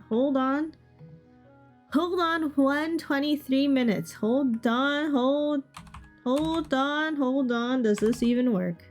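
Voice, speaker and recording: Casual female game streamer, fairly high voice, chatty and repetitive phrasing, light music underneath. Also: fairly bright tone. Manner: chatty, repeats short phrases. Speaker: livestreamer. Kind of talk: casual chat with stream viewers.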